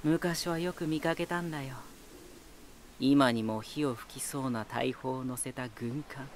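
An actor playing a teasing, talkative lighthouse spirit in an anime-style voice drama, boyish and self-assured with an unsettling edge. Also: male voice, medium pitch, unhurried, background noise, lightly acted. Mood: cool, ominous. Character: playful male lighthouse spirit, teasing, talkative, eerily battle-hungry.

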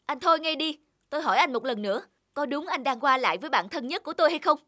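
Bitter, betrayed cartoon wife. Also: female voice, sits high, expressive, fast talker, bright, forceful tone. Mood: angry, hurt. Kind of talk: cartoon drama dialogue.

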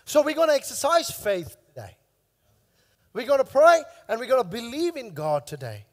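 A man in his thirties, a pastor with a hoarse, worn voice, preaching emphatically on a church stage into a headset mic, repeating key phrases. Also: very high-pitched, very animated, normal speed, long reverb tail. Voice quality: coughing now and then. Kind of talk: sermon. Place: large church stage with long reverb.

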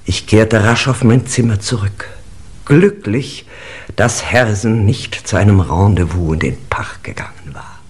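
An actor playing an anxious gentleman recounting a fearful night in a 1950s radio mystery, with dramatic, deliberate storytelling. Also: on the low side, slight background noise, lightly acted. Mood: tense, confiding.

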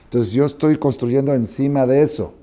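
A man in his sixties teaching a Torah class in a study hall, expressive and often asking his listeners whether they follow. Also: medium pitch, normal speed. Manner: expressive, questioning listeners, acting out dialogue. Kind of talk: animated Torah lesson with parables. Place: study hall with wooden chairs, indoors.

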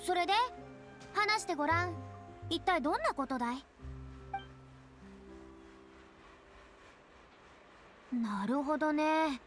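A woman's voice: high voice, normal speed, fairly bright tone. An actress voicing a proud, theatrical young woman, a retired star with boyish turns of phrase, in an anime-style game. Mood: self-important. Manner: haughty, dramatic, speaks with boyish self-reference. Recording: studio voice over game music.

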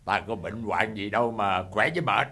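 A man's voice: fairly low pitch, normal speed, bright, forceful tone, breathy edge, heavily acted. A man voicing a teasing mysterious old man. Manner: teasing, chuckling, commanding.

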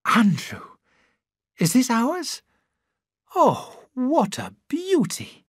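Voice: mid-range voice, wildly swooping pitch, very slow, husky, dry recording, studio quality, voice pushed up, exaggerated voice. A narrator voicing a young wife in an audiobook, gushing and breathless with delight. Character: young wife, thrilled and affectionate. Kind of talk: audiobook character voice.

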